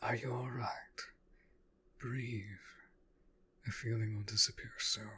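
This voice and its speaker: Guy doing a protective vampire master for an audio rp, slow n low, formal, bossy, measured. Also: level tone, pushed and bright, a little hiss or hum. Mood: gentle, hushed. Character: protective, commanding male vampire master of a manor. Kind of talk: scripted audio roleplay acting.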